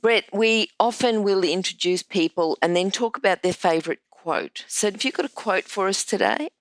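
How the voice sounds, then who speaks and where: A woman's voice: medium pitch, normal speed, no room sound, rough recording. A woman hosting an interview, asking questions in a hesitant way and rephrasing them as she goes. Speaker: interviewer, host.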